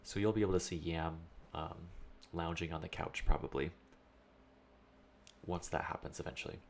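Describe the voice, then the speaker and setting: A young man with a very deep, gravelly voice rambles casually as he plays, thinking out loud between remarks. He speaks over a steady layer of background noise. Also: normal speed. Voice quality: low voice. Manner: rambling, chatty, thinks aloud. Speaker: streamer. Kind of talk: casual let's-play chat.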